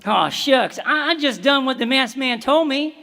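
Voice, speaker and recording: A woman's voice: high-pitched, animated, moderate pace, creaky, pushed and bright, exaggerated voice. A woman playing a plucky frontier boy. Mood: bashful. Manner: folksy drawl with country grammar. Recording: echoey theater stage.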